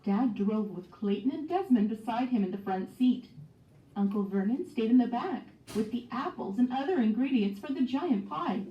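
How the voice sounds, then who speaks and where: A woman's voice: fairly high pitch, animated, normal speed, warm tone. A woman narrating a children's storybook expressively, acting out the dialogue as she goes. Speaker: storybook read-aloud narrator. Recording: dull, echoey, distant microphone.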